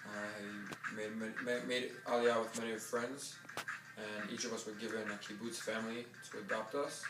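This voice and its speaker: A man in his twenties from New York, a serving soldier, speaking in a steady, deliberate way with some ums. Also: on the low side, roomy sound, recorded from a distance, over music, rough recording. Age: 20s. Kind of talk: earnest interview testimony.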